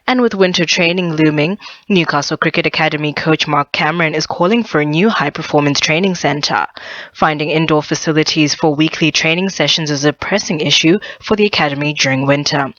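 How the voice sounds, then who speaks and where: A woman's voice: low voice, a little fast, a bit bright, no room sound. Australian woman, sports newsreader, scripted delivery, dry, slightly muffled radio audio. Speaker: sports news reader. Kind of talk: scripted news reading.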